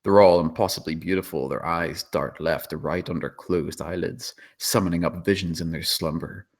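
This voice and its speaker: A man's voice: sits low, moderate pace. A man reading stage directions as the narrator of a dramatic screenplay table read.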